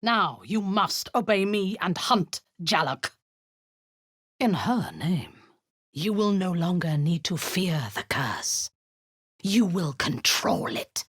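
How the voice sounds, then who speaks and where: An actress playing a cold, imperious female drow warlord in a video game, commanding and menacing, sometimes dropping to a husky whisper. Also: lowish voice, big pitch swings, slow pace, a bit bright, no echo, clean studio sound, heavily acted.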